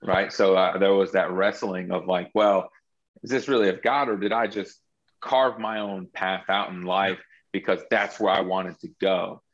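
A man's voice: sits low, level tone, normal speed, highs cut off. A man sharing his faith story as a guest in an interview, earnest and reflective, often restarting his sentences. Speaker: guest entrepreneur.

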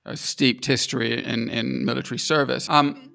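A man's voice: mid-range voice, fairly even intonation, normal speed, vocal fry, pushed and bright. A man hosting a podcast and interviewing guests in an upbeat, encouraging way, often saying "sort of" and "um". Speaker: podcast host.

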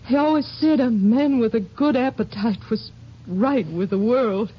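An actress playing a grieving sheriff's widow in a 1950s radio drama, speaking softly and haltingly with a Texan accent. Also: female voice, on the high side, slightly rough. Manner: hesitant, halting. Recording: muffled old radio recording.

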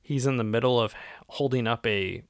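A man's voice: mid-range voice, a little fast, dry recording, clean studio sound. A man co-hosting a movie podcast, recapping a film in a casual, jokey and informal way.

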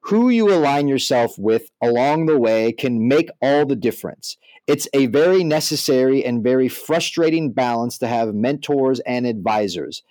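A man's voice: mid-range voice, lively intonation, normal speed, a little mellow, dry recording, poor audio. A man co-hosting a podcast in an informal, conversational way. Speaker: podcast co-host, music artist manager. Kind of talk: podcast discussion with some read-out article passages.

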